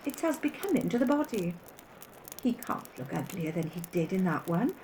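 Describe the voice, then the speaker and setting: A woman's voice: lowish voice, sing-song, moderate pace, a little mellow, slight background noise. An actress playing a brazen, callous scavenging woman in an audio drama. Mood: brazen, scornful.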